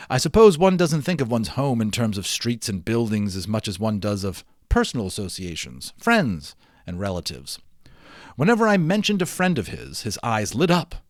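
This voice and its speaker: A man's voice: mid-range voice, no room sound, clean studio sound. A man giving a dramatic storybook read-aloud of a children's novel, with theatrical, emphatic phrasing.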